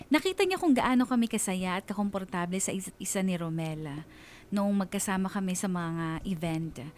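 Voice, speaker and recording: A woman's voice: mid-range voice, darkish tone, natural voice. A woman playing a lovestruck young woman confiding her story in dramatic narration, with a Filipino accent. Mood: reflective, stunned. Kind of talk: scripted dramatic first-person narration.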